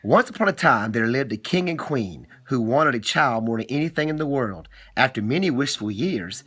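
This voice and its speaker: A man's voice: mid-range voice, animated, normal speed, fairly bright tone, breathy edge. A middle-aged man reading aloud to his child in a theatrical, teasing way, often breaking off for asides. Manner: theatrical, teasing, frequently interrupts himself. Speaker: father telling a bedtime-style story. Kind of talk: homemade storytime, reading aloud with playful asides.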